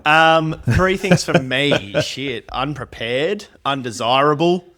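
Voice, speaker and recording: A man's voice: fairly high pitch, slow, crowd noise. A man guesting on a podcast, self-deprecating and laughing as he talks. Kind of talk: casual podcast banter.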